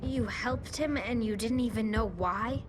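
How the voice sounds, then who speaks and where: An actress playing a wary, direct squadmate of a space commander in a video game. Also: female voice, a little high, a little slow, recorded from a distance, background noise, close to an everyday voice. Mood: suspicious.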